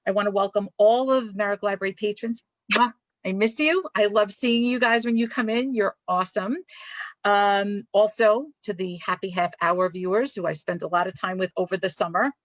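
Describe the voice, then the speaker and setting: A woman's voice: mid-range voice, fairly even intonation, moderate pace, dry recording. A woman from Brooklyn with a Brooklyn accent, hosting a live library author chat in an effusive, chatty way. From Brooklyn, New York. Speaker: librarian host.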